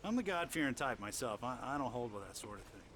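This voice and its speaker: An actor playing a folksy, God-fearing American trailer park manager in a video game, plain-spoken and neighborly. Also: male voice, mid-range voice, big pitch swings, fast talker, fairly bright tone, slight background noise.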